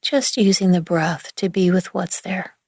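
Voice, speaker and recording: A woman leading a guided relaxation meditation in a gentle, soothing, hushed manner with long pauses between phrases. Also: lowish voice, slightly rough, no echo, studio quality. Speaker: yoga nidra meditation guide.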